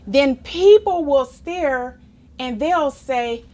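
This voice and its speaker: A woman reading a children's story aloud in an expressive, playful, theatrical manner, as if to young listeners. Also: sits high, extremely slow. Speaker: story reader.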